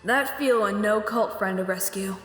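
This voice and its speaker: A woman's voice: medium pitch, moderate pace, voice pushed up, lightly acted. A woman voicing a cocky, casual pegasus adventurer with a breezy, slangy delivery, in a cartoon-style story reading. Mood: casual, puzzled. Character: cocky, casual female pegasus adventurer, inner thoughts included. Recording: echoey long reverb, faint music.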